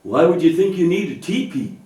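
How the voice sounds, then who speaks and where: Mellow, reassuring man in an echoey room. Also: high-pitched, very animated, fairly quick. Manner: reassuring, patient, explanatory. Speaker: adult partner in a church puppet show. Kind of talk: gentle scripted dialogue teaching children about faith. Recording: echoey room, distant microphone.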